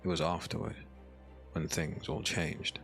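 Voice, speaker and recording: Low-voiced solemn male storyteller. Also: little pitch movement, measured pace, creaky, fairly bright tone, soft background music. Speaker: narrator. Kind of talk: scripted dark fantasy audiobook narration.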